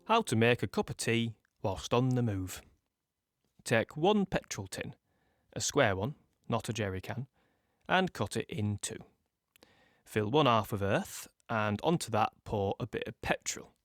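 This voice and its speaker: A man's voice: fairly low pitch, sing-song, unhurried, dry recording, studio quality. Droll young British guardsman. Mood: droll, instructional. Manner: droll, instructional storytelling. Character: Dennis "Hank" Haydock, cheerful young British guardsman. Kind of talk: first-person audio play monologue.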